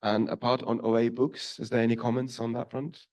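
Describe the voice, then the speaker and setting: A man's voice: mid-range voice, little pitch movement, fairly quick, darkish tone. A man moderating a conference panel, summing up questions briefly and thanking speakers politely. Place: conference hall.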